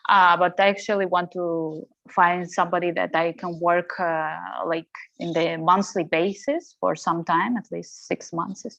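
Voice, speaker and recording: A woman from Ukraine with a Spanish accent answers interview questions conversationally in halting non-native English, searching for words. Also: on the low side, flat delivery, vocal fry, fairly bright tone, no room sound, lo-fi sound. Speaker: podcast guest, social media strategist and coach.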